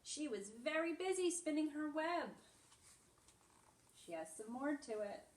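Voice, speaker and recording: A woman with a fairly high voice speaks as a preschool teacher, explaining things simply and reading aloud for small children. She is a little far from the microphone, and the room echoes clearly around her. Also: expressive, a little slow. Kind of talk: simple lesson and read-aloud storytelling for young children.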